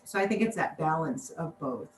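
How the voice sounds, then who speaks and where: A woman's voice: low voice, animated, normal speed. An American woman biologist answering interview questions on a video call, chatty, laughing often and acting out what people said. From United States. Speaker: biologist guest. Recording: distant roomy mic with faint noise.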